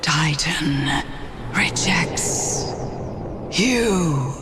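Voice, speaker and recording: An actor playing a cryptic, weary spectral traveler in a video game, speaking in halting, broken phrases with long pauses. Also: mid-range voice, animated, music in the background. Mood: cryptic, grave. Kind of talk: scripted game voice acting.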